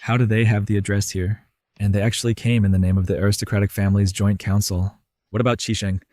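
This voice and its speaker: A man's voice: fairly low pitch, little pitch movement, fast talker, a little mellow, dry recording, studio quality, close to an everyday voice. A man voicing a pragmatic, matter-of-fact military strategist in an English anime dub. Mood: alert.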